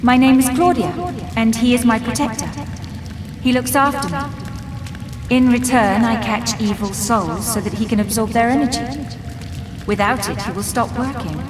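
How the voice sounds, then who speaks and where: A woman voicing a gentle young girl with mystical gifts in a fantasy video game, speaking in a soft, earnest, solemn way. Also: a little high, fairly even intonation, normal speed, music in the background, lightly acted. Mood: calm, candid. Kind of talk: dramatic video game acting.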